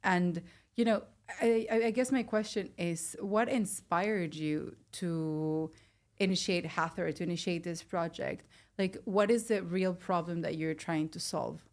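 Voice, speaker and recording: A woman's voice: lowish voice, steady tone, a little slow, darkish tone. A woman asking questions as a podcast interviewer in an informal, engaged, conversational way.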